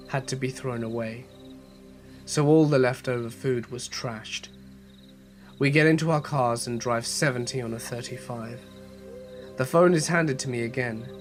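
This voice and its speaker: A man narrating horror stories as a channel host, reading in a measured, steady way with a voice slightly off from a cold. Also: medium pitch. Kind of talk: scripted horror story narration.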